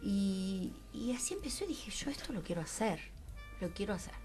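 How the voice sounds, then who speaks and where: An older woman with an Argentine accent, a guest actress chatting on a radio show, animated with frequent laughs and trailing fillers. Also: medium pitch, moderate pace, faint music behind. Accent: Rioplatense Spanish. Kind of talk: casual radio interview. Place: small radio studio with foam walls. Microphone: studio mic and headphones.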